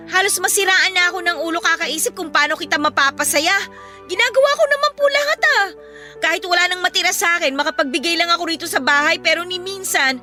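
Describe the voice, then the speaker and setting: A woman's voice: very high-pitched, sharp tone, heavily acted. An actress playing a dutiful, hurt young Filipino daughter who pleads respectfully with her mother in a radio drama. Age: young adult. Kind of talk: emotional radio drama acting.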